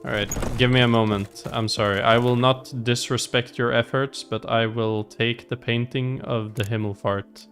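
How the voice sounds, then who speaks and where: A man's voice: mid-range voice, fairly even intonation, normal speed, few pauses, fairly bright tone. A man giving casual gameplay commentary as a streamer, musing aloud to himself as he plays. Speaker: game streamer. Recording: voice over game music. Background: game music.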